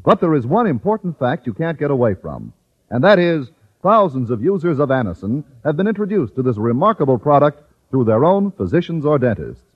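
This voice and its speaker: A man announcing and pitching for a sponsor in 1950s radio style, emphatic and carefully enunciated. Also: sing-song, brisk, breathy edge. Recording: muffled old-time radio recording.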